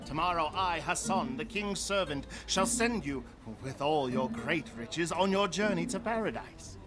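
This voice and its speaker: An actor playing a solemn, dutiful royal servant with a formal, ceremonious delivery in a radio drama. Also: male voice, on the high side, animated, measured pace. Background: prominent musical score.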